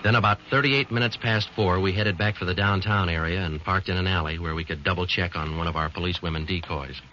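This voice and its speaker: An actor playing a calm, matter-of-fact police sergeant who narrates a 1940s radio drama in a flat, clipped delivery, on a dull old broadcast recording. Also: male voice, low voice, fast, a little hiss or hum.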